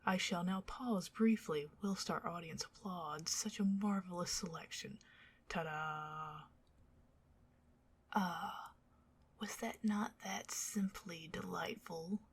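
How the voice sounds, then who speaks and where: Woman acting a slow, whispery, bewitching vampire lady. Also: on the low side, a bit bright, exaggerated voice. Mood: theatrical, pleased. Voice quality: breathy, husky whisper. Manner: arch, theatrical, old-fashioned flowery phrasing. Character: bewitching older vampire lady, doting, theatrically fussy and possessive. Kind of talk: whispered ASMR roleplay.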